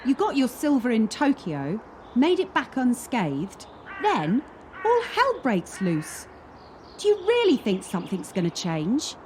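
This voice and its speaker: A woman's voice: fairly high pitch, wildly swooping pitch, unhurried, background noise. A young woman playing a wary, wounded gymnast in a radio play, with a wry, self-mocking and wistful manner. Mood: wry, doubting.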